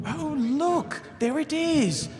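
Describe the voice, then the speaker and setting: A man's voice: very high voice, animated, slow pace, a little mellow, full character voice. A man playing an earnest, naive young watch recruit with a guileless, dutiful delivery in a live audio theatre performance. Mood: earnest concern. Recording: echoey live stage hall.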